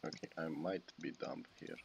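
A man's voice: rumbling low, fairly even intonation, moderate pace, vocal fry, a little hiss or hum. A young man with a Romanian accent commenting over his own gameplay at home, cursing at misplays. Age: young adult. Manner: swears and exclaims at misplays. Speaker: gaming YouTuber. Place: small home room.

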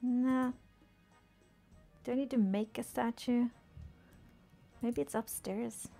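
Young woman streamer, low-key gameplay chatter; mellow, fry-edged, soothing, half-whispered asides. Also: fairly even intonation, takes it slowly, faint music behind. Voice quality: soothing soft voice. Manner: murmuring to herself, often dropping to a whisper. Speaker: game streamer. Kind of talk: casual gameplay commentary.

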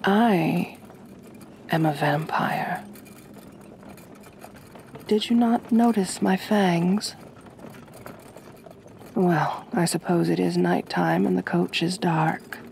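A woman playing a kind, possessive aristocratic vampire mistress in an ASMR roleplay, speaking gently in a measured, genteel, soothing way. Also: fairly low pitch, vocal fry, slight background noise, full character voice. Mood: calm, coaxing.

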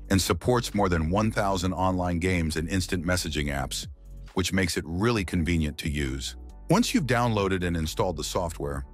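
Male tutorial host, low-pitched, scripted narration with music underneath.

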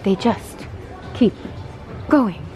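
A young adult woman chatting casually in a vlog, gushing and exclaiming in an excitable way. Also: mid-range voice, very slow, soft background music. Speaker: vlogger. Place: indoors, bookstores and an empty room at home. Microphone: handheld camera at arm's length. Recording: noticeable background noise.

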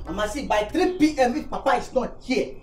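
Figure: actress playing an angry, insistent daughter. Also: female voice, fairly high pitch, expressive, brisk, lightly acted. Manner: urgent, insistent. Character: angry protective grown daughter.

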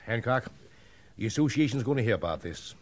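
An actor playing a cautious, folksy American cattlemen's boss in an old-time radio western, somewhat excitable in manner. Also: male voice, mid-range voice, a little fast, close to an everyday voice. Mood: decisive. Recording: old radio recording, faint music.

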